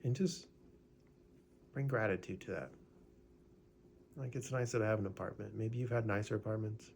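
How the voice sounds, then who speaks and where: A man leading a guided gratitude meditation in a relaxed, conversational way, often adding "right?" and small fillers. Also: on the low side, normal speed, gravelly, slight background noise.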